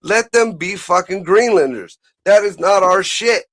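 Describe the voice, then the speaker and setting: A man's voice: high voice, unhurried, fairly bright tone, no echo, clean studio sound. A man chatting casually about politics as a show host, blunt, profane and rambling.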